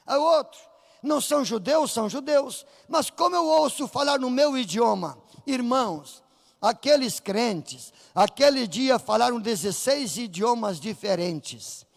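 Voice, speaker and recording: A man with a Brazilian Portuguese accent preaching a Pentecostal sermon in church, fervent and rising to shouts as he acts out voices from the story. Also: very high-pitched, gravelly. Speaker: pastor. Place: church sanctuary. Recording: reverberant church hall.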